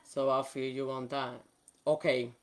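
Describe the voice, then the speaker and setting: A woman's voice: very deep voice, flat delivery, a little slow. Woman, New York accent with Spanish influence, native Spanish speaker, chatty livestream nail host, laughs often. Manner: chatty, talks to viewers by name, laughs often. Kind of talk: casual live chat while demonstrating.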